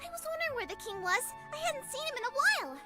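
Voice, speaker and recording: Squeaky, swooping pony princess. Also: female voice, sing-song, rapid-fire, loud music behind the voice. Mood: nosy, excited. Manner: bubbly, playful. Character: excitable, nosy young female cartoon pony princess.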